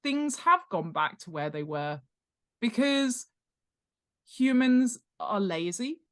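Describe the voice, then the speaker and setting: A woman's voice: fairly high pitch, slow pace. A middle-aged woman talking informally as an expert guest, explaining with emphasis and checking in with the listener. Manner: animated, emphatic, checks in with "right?" and "okay?". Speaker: guest author and world-building expert. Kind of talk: informal explanatory interview talk.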